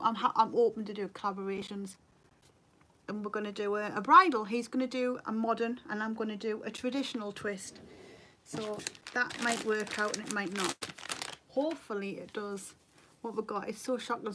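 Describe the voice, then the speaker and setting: A woman's voice: fairly high pitch, a bit bright. A woman in her thirties with a Geordie accent, chatting casually on a makeup livestream, a bit tired, reading out viewer comments from her bedroom webcam. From North East England. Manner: chatty, reads viewer comments aloud, laughs easily. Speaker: beauty vlogger. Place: bedroom at home, indoors. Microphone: webcam at desk.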